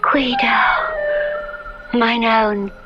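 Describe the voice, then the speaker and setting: An actress playing an innocent, lovestruck young noblewoman, breathy and rapturous, in a 1970s radio melodrama. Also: a little high, very slow, highs cut off. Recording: band-limited 1970s radio with music.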